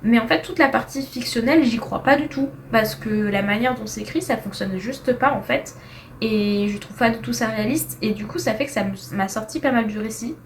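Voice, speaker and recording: A young woman with a slightly high voice talks through her reading in a loose, chatty way. She restarts sentences often and mixes slang into her rankings, sounding like an excited book vlogger speaking straight to her viewers. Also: fairly quick, slight background noise. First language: French. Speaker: book reviewer. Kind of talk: casual tier-list book review.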